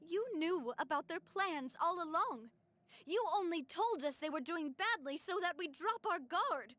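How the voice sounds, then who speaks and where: An actress playing a determined young woman who leads her crew in a game cutscene, brisk and decisive. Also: very high-pitched, expressive, sharp tone, full character voice. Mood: wary. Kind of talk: dubbed game voice acting.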